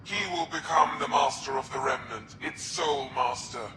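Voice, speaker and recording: A man playing a cold, scheming alien military zealot in a video game, speaking formally and deliberately with menace. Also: mid-range voice, close to an everyday voice. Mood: grave contempt. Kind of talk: dramatic video game acting. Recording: echoing, with music behind.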